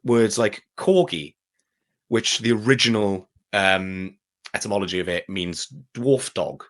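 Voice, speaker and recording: A man with a fairly low-pitched voice, talking as a guest in a relaxed interview. His delivery is casual and keen, in an everyday conversational style. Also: measured pace. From Wales. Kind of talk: casual enthusiastic interview chat.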